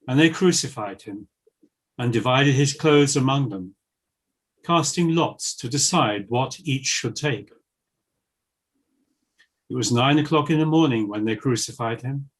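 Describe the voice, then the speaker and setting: A man narrating scripture in a solemn, measured and formal reading style. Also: medium pitch, slightly rough, low-quality recording.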